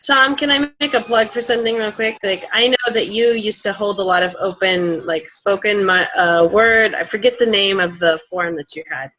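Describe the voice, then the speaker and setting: A woman speaking as a panelist in a spontaneous discussion, earnest and reflective, with halting phrasing. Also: mid-range voice, bright, forceful tone, lo-fi sound.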